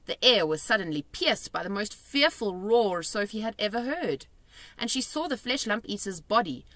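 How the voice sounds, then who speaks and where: A woman reading a children's storybook aloud in an animated narrating style. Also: mid-range voice, dry recording. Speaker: storybook reader.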